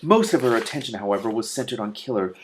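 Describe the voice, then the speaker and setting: Expressive man reading a story aloud. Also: mid-range voice, quick pace, slight background noise. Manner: reactive, often stumbles over words and swears. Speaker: host reading a novel aloud with commentary. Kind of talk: expressive audiobook-style reading with excited asides.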